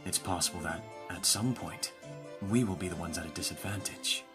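A man playing a proud, protective vampire master in an audio roleplay, speaking in a measured, formal, instructive way. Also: deep voice, music in the background, lightly acted. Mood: calm, instructive.

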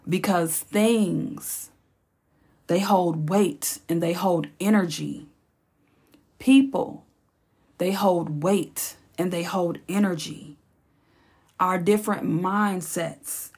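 Woman, raspy, podcast host, unhurried, gently encouraging, repeats lines for weight. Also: on the low side. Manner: slow, encouraging, repeats phrases for emphasis. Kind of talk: reflective solo podcast talk.

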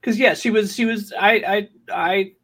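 A man's voice: high voice, fairly even intonation, moderate pace, fairly bright tone. A man chatting casually as an interview guest, a writer and director who explains emphatically and repeats himself. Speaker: podcast guest, audio drama writer and director.

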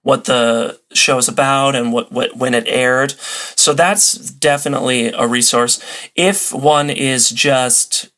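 A man's voice: medium pitch, takes it slowly, fairly bright tone. A man speaking as a podcast guest, giving casual, conversational answers in a friendly, easygoing way. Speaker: podcast guest, old-time radio hobbyist.

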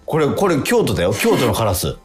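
A middle-aged man chatting casually as a radio host, animated and exclamatory, often repeating himself. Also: mid-range voice, a little fast. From Miyazaki, Japan. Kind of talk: casual chat, anecdote telling. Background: music under the talk.

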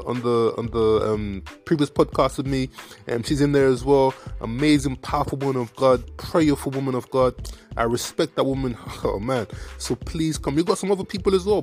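A man's voice: medium pitch, darkish tone. A young man hosting a podcast, talking casually about faith in an earnest, encouraging way, full of fillers and repeated phrases. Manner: earnest, repetitive, encouraging, preachy; heavy fillers like "like" and "you know what I mean". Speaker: podcast host. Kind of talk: casual faith talk to viewers.

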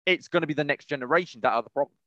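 A man's voice: fairly high pitch, rapid-fire, sharp tone, no room sound, lo-fi sound. A young British man chatting casually as a podcast co-host, rambling and often circling back mid-sentence. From United Kingdom.

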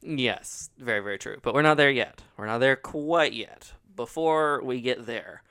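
A man's voice: mid-range voice, unhurried, bright, forceful tone, clean tone. A man co-hosting a podcast in casual, teasing chat, laughing often, with a runny nose. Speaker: podcast co-host.

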